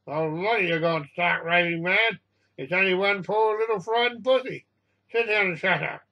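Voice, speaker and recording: A man's voice: high voice, moderate pace, dull audio, natural voice. A man voicing an exasperated cat owner in a story, scolding panicking passengers in a weary, plain-spoken way.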